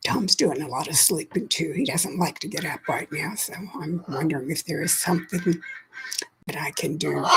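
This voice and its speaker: A woman's voice: sits low, background noise. A woman who has lost her voice, whispering her way through a chatty livestream and greeting viewers by name. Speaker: livestream host. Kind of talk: casual live chat with viewers.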